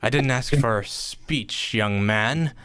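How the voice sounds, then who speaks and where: A man playing a bitter, menacing old uncle on his deathbed in a radio play, halting and theatrical, with coughs and sighs. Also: mid-range voice, background noise, lightly acted. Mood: irritable, domineering. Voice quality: coughing, breathy sighs and laughs.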